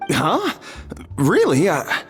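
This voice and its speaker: Excitable food judge, swooping pitch. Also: extremely slow, heavily acted. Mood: hesitant. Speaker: contest judge. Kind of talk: video game character acting. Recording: reverberant hall, music underneath.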